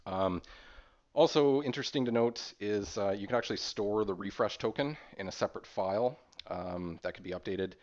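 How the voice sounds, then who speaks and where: A man presenting an informal screen-share software tutorial in a hesitant, explanatory way. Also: fairly low pitch, normal speed. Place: voice-over recorded over screen capture. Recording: dull, muffled lo-fi audio.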